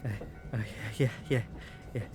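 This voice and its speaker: A man voicing a storyteller who recalls a strange creature with halting, stammering speech. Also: darkish tone, faint music behind, close to an everyday voice.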